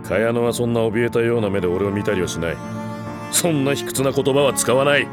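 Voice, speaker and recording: A man's voice: mid-range voice, brisk, natural voice. An actor playing an obsessed, domineering man, cold and commanding, in a dramatic audio drama. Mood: cold, obsessive. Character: obsessed, domineering, cruel man tormenting a captive girl. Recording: loud string music over voice.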